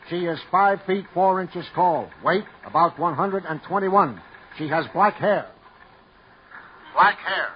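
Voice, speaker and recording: An actor playing a brisk police sergeant making clipped, urgent announcements in an old radio crime drama. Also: male voice, high-pitched. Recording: muffled hissy old radio recording.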